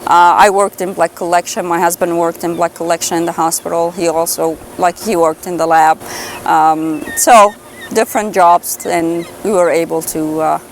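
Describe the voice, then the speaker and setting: A woman's voice: fairly low pitch, level tone, moderate pace, slight background noise, poor audio. A woman newcomer giving an unscripted interview, hesitating and repeating words as she goes.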